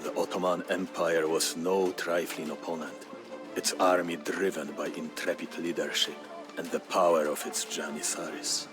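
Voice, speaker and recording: A man narrating a historical tale as scripted storytelling, in a grave, measured, theatrical manner. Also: deep voice, level tone. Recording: music behind the voice. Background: music.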